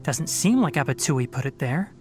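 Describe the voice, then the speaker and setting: A thoughtful young man pieces things together aloud, his pitch rising and falling in a lively way, as an actor plays him. Faint music plays behind him in the game. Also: normal speed, close to an everyday voice. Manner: thoughtful, investigative. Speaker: game character.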